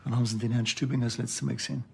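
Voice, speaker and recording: An actor playing a dry, offhand small-town police officer with a Bavarian accent in a TV crime comedy. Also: male voice, medium pitch, level tone, very fast, vocal fry, husky. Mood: dry, probing. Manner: offhand, laconic.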